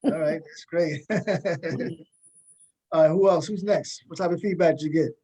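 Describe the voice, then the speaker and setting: Higher-voiced man hosting a talk show. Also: unhurried, warm tone, husky, muffled recording. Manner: repeats short phrases in acknowledgement. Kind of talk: casual interview with cast members.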